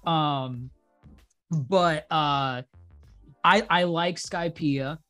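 A podcast co-host in casual chat, talkative and quick to laugh, restarting sentences as thoughts pile up. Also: medium pitch, fairly bright tone, soft background music. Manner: chatty, laughs often, trails into restarts.

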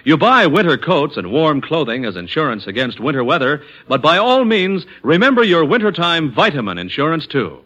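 A man's voice: on the high side, big pitch swings, normal speed, few pauses, sharp tone, low-quality recording. An American man reading scripted 1940s radio advertising as the announcer, with an emphatic, persuasive sales delivery.

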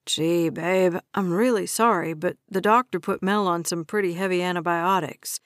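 A woman's voice: fairly low pitch, fairly even intonation, normal speed, dry recording, clean studio sound, lightly acted. A woman narrator voicing a cocky, manipulative grown man who sounds falsely sympathetic and soothing. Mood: apologetic.

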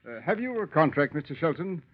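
An actor playing a proud, faded old stage actor with grand theatrical phrasing, in 1940s radio acting. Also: male voice, fairly high pitch, expressive, a little fast. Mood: rueful. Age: older. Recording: dull old radio recording.

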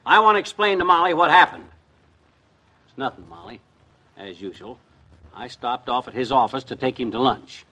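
An actor playing a blustery, boyish, folksy husband in an old-time radio comedy. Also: male voice, mid-range voice, lively intonation, normal speed, pauses often, pushed and bright. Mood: muttering, explaining. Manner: folksy, slangy. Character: blustery, boyish, quarrelsome small-town husband.

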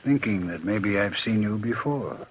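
A strange, hushed railroad signalman in an old radio play. He speaks in terse, eerie lines with a very husky, low voice. The sound is thin and tinny, like an old phone-line broadcast. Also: lively intonation, normal speed. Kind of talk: 1940s radio acting.

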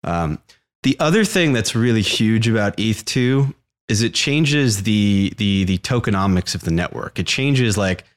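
Young male podcast co-host, lowish voice, laid-back explainer tone. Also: expressive, unhurried. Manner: explanatory, frequent "like" and "right?" check-ins. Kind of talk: casual podcast chat.

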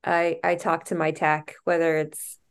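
A woman's voice: sits low, flat delivery, measured pace, clear, resonant tone. Meandering, thoughtful woman co-host. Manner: thoughtful, meandering, self-aware. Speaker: podcast co-host. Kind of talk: casual book discussion.

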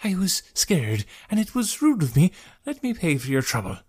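A man's voice: fairly high pitch, huge pitch swings, moderate pace, a little mellow, breathy edge, voice pushed up, exaggerated voice. A man voicing a panicky, indignant male traveller in a story, easily flustered and prone to stammering. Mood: apologetic.

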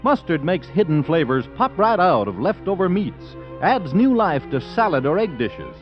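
A male announcer reading a 1940s radio commercial in a bright, persuasive way. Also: a little high, sing-song, moderate pace. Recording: dull vintage radio broadcast with music.

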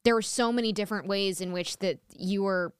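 A woman hosting a podcast interview, talking in an earnest, halting way with many restarts. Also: mid-range voice, clear, resonant tone, dry recording, clean studio sound. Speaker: podcast host. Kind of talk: conversational interview.

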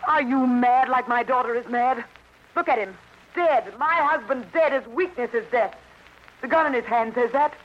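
A woman's voice: a little high, moderate pace, lightly acted. An old radio recording, muffled and faintly hissy, of a woman acting a cold middle-aged widow. She is haughty and defiant, speaking in clipped, theatrical, imperious phrases. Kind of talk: 1950s radio melodrama acting.

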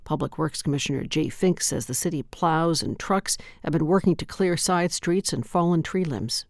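A woman hosting a local radio newscast, reading stories in a steady, measured way. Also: sits low, dry recording, studio quality. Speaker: local news host. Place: radio studio.

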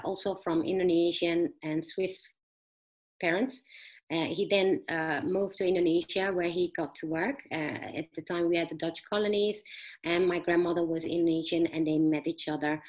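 A middle-aged woman speaking as a podcast guest, telling personal stories conversationally in a halting, earnest, explanatory way. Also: lowish voice, fairly even intonation, rough recording.

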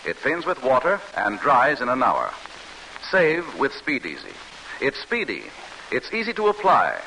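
Vintage American male ad announcer, polished, lively and forceful, faint hiss of old radio. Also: medium pitch, measured pace, rough recording. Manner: polished, salesmanlike. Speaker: commercial announcer. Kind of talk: 1940s radio advertisement. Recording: hissy old radio recording.

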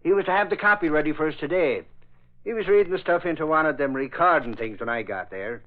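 Newspaperman, male actor, colloquial and argumentative, highish voice, classic radio drama, narrow-band recording. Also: quick pace, natural voice. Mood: matter-of-fact. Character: folksy, grumbling male newspaper reporter hanging around police. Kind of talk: old-time radio drama acting. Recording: tinny band-limited vintage radio recording.

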